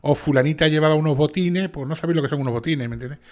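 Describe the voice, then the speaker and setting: A man with a strong Sevillian Andalusian accent, hosting a casual livestream chat and checking in often with his listeners. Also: on the high side. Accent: Sevillian Andalusian Spanish. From Seville, Spain. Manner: fast, chatty, with many restarts and "¿vale?" checks. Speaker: channel host and narrator.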